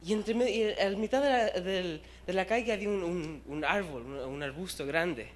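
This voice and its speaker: A young man in his twenties, from Chile, gives a youth sermon in a church hall. His voice is high and he tells his stories in a lively, self-deprecating way, mixing in English words. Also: moderate pace. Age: young adult. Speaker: youth preacher. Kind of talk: casual storytelling sermon.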